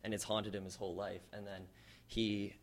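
A man's voice: on the low side, level tone, moderate pace. A teenage boy thoughtfully answering interview questions about his role. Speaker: student actor.

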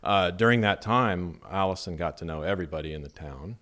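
Interview guest: deep-voiced middle-aged architect from Las Vegas, measured and thoughtful, lots of ums. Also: male voice, a bit bright. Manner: measured, thoughtful, with many fillers. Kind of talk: relaxed conversational interview.

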